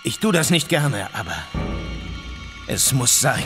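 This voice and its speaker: A man's voice: sing-song, a little slow, over music. An actor playing a nervous, desperate cinema owner turned reluctant gunman in a German audio drama, strained and shaky. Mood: reluctant menace. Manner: strained, shaky, high-strung.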